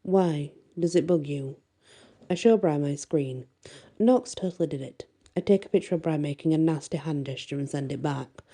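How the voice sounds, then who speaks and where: A woman reading a first-person bedtime story expressively, with a soft voice. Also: low-pitched, a little fast. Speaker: audiobook reader and storyteller.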